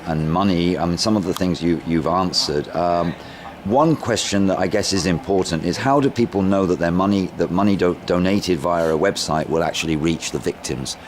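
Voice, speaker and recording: A man interviewing informally on location, asking rambling questions in a halting way. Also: low voice. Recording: noisy outdoor amateur recording with traffic.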